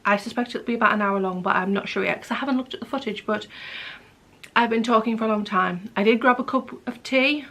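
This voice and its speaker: A woman hosting a knitting podcast in casual, rambling chat, her voice a little hoarse, now and then dropping to a whisper. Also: on the high side, quick pace, fairly bright tone. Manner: chatty, rambling, drops to a whisper at times. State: slightly hoarse. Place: home craft room.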